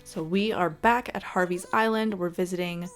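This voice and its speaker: A woman's voice: fairly low pitch, steady tone, moderate pace. Chatty woman narrating gameplay over music. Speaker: gaming video host. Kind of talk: casual gameplay commentary.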